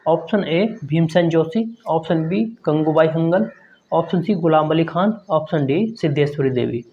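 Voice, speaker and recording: A man's voice: fairly high pitch, moderate pace. A man teaching an exam prep lesson, reading quiz questions and answer options aloud and often addressing his viewers as friends. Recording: dull lo-fi sound.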